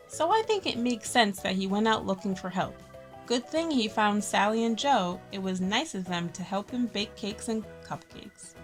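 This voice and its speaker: A woman's voice: on the high side, fairly bright tone. A woman hosting a children's story time, reading a picture book expressively with friendly asides and stressed key words.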